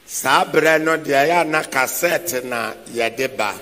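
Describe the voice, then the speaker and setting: A man's voice: mid-range voice, slow pace, sharp tone. An older man preaching in a large church hall, speaking emphatically in short bursts for an interpreter. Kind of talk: sermon with live interpreter. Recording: long hall reverb.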